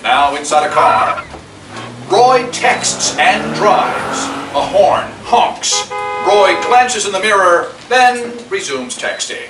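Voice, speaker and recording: A man's voice: high-pitched, very animated, slow pace, gravelly, over music. A man reading stage directions as narrator at a live screenplay table read. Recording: distant mic in noisy, echoey room.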